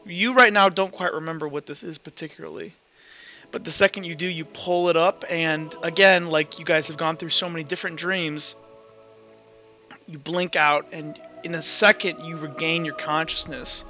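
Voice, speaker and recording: Bright-voiced man running a tabletop game. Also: fairly high pitch, fairly even intonation. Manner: halting, repeats and restarts phrases. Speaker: game master. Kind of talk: improvised tabletop game narration. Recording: muffled online voice call with background music.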